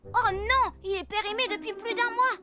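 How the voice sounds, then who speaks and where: A woman voicing an excitable young child in a children's cartoon dub, expressive and exclamatory. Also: very high voice, normal speed. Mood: pained, worried.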